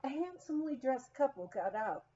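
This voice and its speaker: A woman reading a humorous memoir aloud as scripted narration, in an expressive, storytelling manner. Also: high-pitched, lo-fi sound. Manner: expressive, unhurried storytelling with acted quotes. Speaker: audiobook reader.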